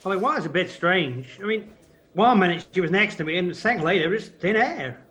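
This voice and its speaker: A man's voice: high-pitched, expressive, fairly quick, fairly bright tone, slightly rough, heavily acted. A man acting in an audio play as an earnest, reflective embassy official with a conversational manner. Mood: earnest, concerned.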